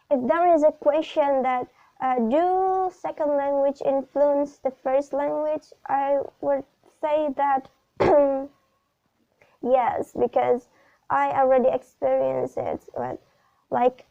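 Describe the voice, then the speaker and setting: A young Indonesian woman with an Indonesian accent, speaking English haltingly in a class video, pausing often with fillers. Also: high voice, vocal fry. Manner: hesitant, many pauses and fillers. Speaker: student. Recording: dull lo-fi recording.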